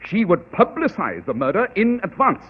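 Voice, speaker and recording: Arrogant master detective; incisive, husky, old broadcast. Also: male voice, high-pitched, very animated, exaggerated voice. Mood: cool. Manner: measured, precise, dryly cutting. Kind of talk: 1940s radio acting. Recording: tinny old radio broadcast.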